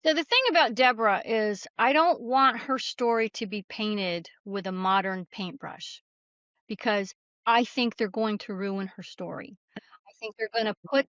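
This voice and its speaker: A woman's voice: medium pitch, a little slow, a bit bright, no echo, highs cut off. A woman speaking as a guest author in an interview, telling stories in an earnest, explanatory, conversational way. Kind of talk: conversational interview answers, storytelling.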